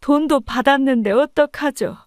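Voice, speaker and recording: A woman's voice: high voice, moderate pace, dry recording, clean studio sound, lightly acted. A woman playing a meek, apologetic kitchen helper in an audiobook drama. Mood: guilty, pleading. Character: remorseful long-serving female restaurant kitchen helper.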